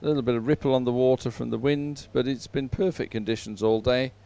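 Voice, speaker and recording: Clear-voiced male live sports commentator. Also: medium pitch, fairly even intonation, dark tone. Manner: hesitant, halting phrasing with frequent pauses. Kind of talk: unhurried live race commentary, filling between races.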